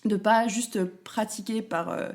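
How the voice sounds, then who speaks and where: A young woman chats as an interview guest at a middling pitch. She rambles a little and leans on fillers and restarts, calling herself talkative. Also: fairly even intonation, a little slow, a little mellow. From France, Paris. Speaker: guest, content creator. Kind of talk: casual interview talk.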